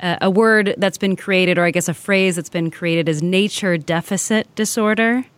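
A woman's voice: lowish voice, moderate pace, dry recording. Chatty woman interviewer, dry sound. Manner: curious, chatty, with fillers. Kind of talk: casual interview.

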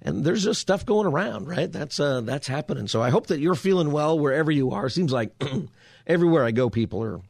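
A hoarse, raspy male radio talk host chatting casually from his studio, rambling with restarts. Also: a little high, lively intonation, a little fast, darkish tone, no echo. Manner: meandering, lots of fillers and restarts. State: hoarse from a cold. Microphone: studio mic.

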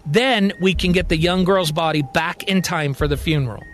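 A man's voice: on the high side, moderate pace, lightly acted. A man voicing a grave, commanding male president in a sci-fi audio story, speaking with insistent authority. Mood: resolute. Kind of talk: acted dialogue in audio drama storytelling.

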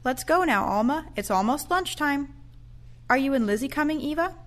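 A woman's voice: sits high, lively intonation, normal speed, a bit bright. A woman playing a cheerful, attentive care aide in an audio play, chatty and encouraging.

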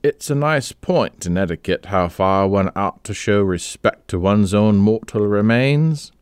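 A man reading a story aloud, voicing an urbane gentleman in a wry, measured way. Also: fairly low pitch, expressive, clean tone, no echo, studio quality, voice pushed up.

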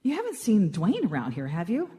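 A woman's voice: medium pitch, huge pitch swings, fairly quick, warm tone. A woman playing an anxious, plucky widow in a comedic holiday radio play, earnest and melodramatic. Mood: puzzled. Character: plucky, anxious young widow heroine of holiday-movie parody. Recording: live theatre hall, long reverb.

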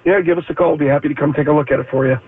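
Scratchy-voiced expert on a phone line. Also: male voice, on the high side, steady tone, racing, slightly rough. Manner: hesitant, many fillers. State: scratchy voice from allergies. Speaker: foundation repair expert guest.

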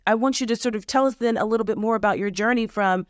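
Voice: mid-range voice, quick pace, no room sound. Gushing podcast host, dry close sound. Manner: enthusiastic, rambling, hedging questions. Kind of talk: interview conversation.

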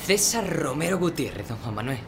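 An eager young Andalusian student. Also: male voice, fairly high pitch, very animated, a little fast, vocal fry, noisy background. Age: young adult. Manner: eager, slangy, stammering.

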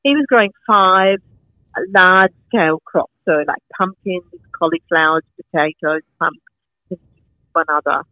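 A woman's voice: lowish voice, unhurried, no room sound, phone-quality sound. A middle-aged woman from Sri Lanka with a Sri Lankan accent, chatting enthusiastically as an interview guest, rambling with many fillers. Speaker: guest, market founder and farmer. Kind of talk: casual interview chat.

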